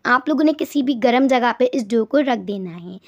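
A woman's voice: a little high, animated, normal speed, lo-fi sound. A woman giving step-by-step recipe instructions as a voice-over, often doubling words for emphasis. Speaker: cooking channel host.

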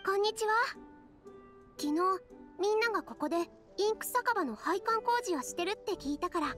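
A woman voicing a gentle, caring young heroine in an anime-style fantasy game. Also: very high-pitched, lively intonation, normal speed, soft background music. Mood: friendly. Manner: gentle, encouraging.

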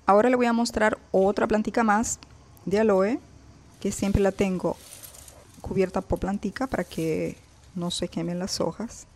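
Lady w a lowish voice chatting to viewers abt her plants, phone held close, out on the balcony. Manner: chatty, addresses viewers directly, points things out. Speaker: gardening vlogger. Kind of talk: casual how-to vlog. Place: outdoor balcony garden.

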